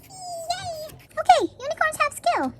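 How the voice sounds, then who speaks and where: Woman putting on squeaky excitable little storybook creatures. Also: slow pace, heavy background noise, full character voice. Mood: delighted.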